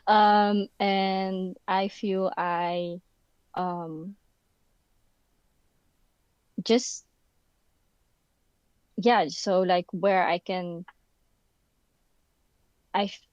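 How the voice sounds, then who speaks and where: A woman's voice: medium pitch, fairly even intonation, drawn-out words, pauses often, a little mellow, clean tone, no room sound, highs cut off. A young woman from the Philippines who grew up in the Netherlands, a podcast guest speaking casually and searching for words with many fillers. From Philippines, raised in the Netherlands. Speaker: podcast guest, university student. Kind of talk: casual conversation. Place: indoor room.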